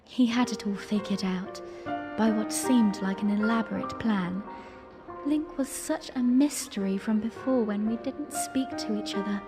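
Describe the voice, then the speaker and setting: A woman's voice: a little high, natural voice. A woman playing a young, playful, romantic princess who tells her story in a genteel, tender way in a fan audio drama.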